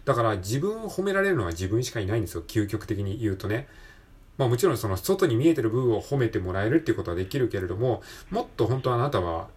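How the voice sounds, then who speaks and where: Male podcast host, rough-edged voice, lively swooping intonation, casual motivational delivery that keeps seeking agreement. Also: mid-range voice, fast talker. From Japan. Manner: encouraging, conversational, repeatedly seeking agreement. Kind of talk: casual solo motivational talk.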